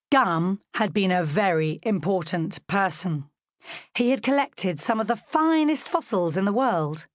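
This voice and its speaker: A woman's voice: medium pitch, no echo, studio quality. A woman with a British accent narrating a children's story from a script as an audiobook reader.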